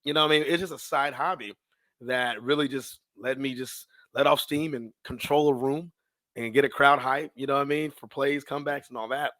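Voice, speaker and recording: A man's voice: medium pitch, a little fast, no echo. An American man, around his thirties, telling stories casually in an interview, slangy and acting out bits of dialogue as he goes. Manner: animated, slangy, acts out his own past thoughts and dialogue. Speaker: podcast guest, sports public address announcer.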